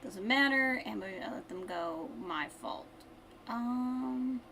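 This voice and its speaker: A woman's voice: on the high side, animated, slow, gravelly, sharp tone, a little hiss or hum. A woman game streamer giving casual live commentary with wry, dry asides.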